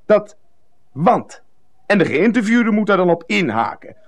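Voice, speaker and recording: An actor in a radio comedy playing a hearty, overbearing gym-teacher buddy with a jovial, bossy way of talking. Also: male voice, sits high, very animated, unhurried, heavily acted. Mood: smug explaining. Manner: jovial, bossy, loud exhortations. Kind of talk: comedic radio drama acting.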